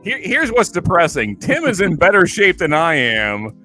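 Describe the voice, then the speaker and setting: A man's voice: high-pitched, wildly swooping pitch, normal speed, bright, forceful tone, soft background music. A man in his late thirties, chatty and self-deprecating, laughing easily in a casual group chat on a livestream. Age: late 30s.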